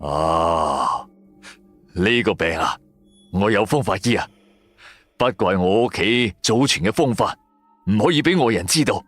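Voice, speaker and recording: A man's voice: fairly low pitch, animated, measured pace, long pauses, a bit bright, faint music behind, voice pushed down. A man playing a sly, threatening tomb robber who poses as a gold prospector, coaxing and commanding, in an audio drama. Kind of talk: Cantonese audio drama storytelling.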